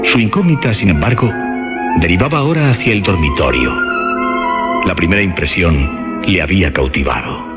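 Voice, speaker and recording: Male storyteller for radio suspense: very low, expressive pitch, measured dramatic reading, dulled sound. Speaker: narrator. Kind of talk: dramatic scripted narration for suspense radio theatre. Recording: dull band-limited radio sound with music. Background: clear music.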